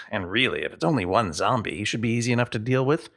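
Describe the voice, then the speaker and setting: Dad for a storybook, male reader: brisk, unflappable, practical, musing with swoops. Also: lowish voice, expressive, vocal fry, fairly bright tone, heavily acted.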